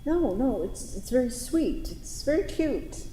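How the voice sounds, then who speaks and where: In an echoey hall with faint noise, an actress plays a confident woman quarrelling with her lover in a stage play. Her voice is mellow and soft-edged, and her delivery is frank, sardonic and challenging. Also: high-pitched, sing-song, measured pace. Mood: frank, gently firm. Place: theatre stage at a live staged reading.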